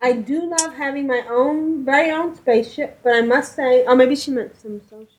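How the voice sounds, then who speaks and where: A woman's voice: high-pitched, normal speed, slight background noise, low-quality recording. A woman co-hosting a gaming podcast, reading listener emails in a cheerful, giggly way. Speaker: podcast co-host. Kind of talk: casual chat, reading listener emails aloud.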